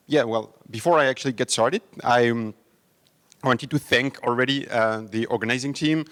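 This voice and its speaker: A man's voice: medium pitch, fairly bright tone. French-accented man opening a tech workshop. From France. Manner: halting, many fillers and restarts. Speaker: conference host and foundation speaker. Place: conference room with audience.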